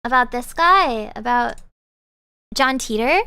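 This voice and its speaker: Young woman, streamer, high bright voice, bubbly and rambling, dry close sound. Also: lively intonation, slow, clear, resonant tone, dry recording. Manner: bubbly, rambling with many fillers. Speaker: VTuber streamer. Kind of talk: casual live-stream chat.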